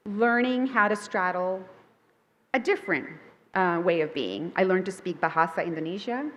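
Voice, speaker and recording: A woman's voice: medium pitch, fairly bright tone. Chatty upbeat woman author speaking. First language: Thai. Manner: upbeat and chatty, with frequent fillers and self-corrections. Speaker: picture book author giving a festival talk. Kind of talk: conversational presentation to a live audience.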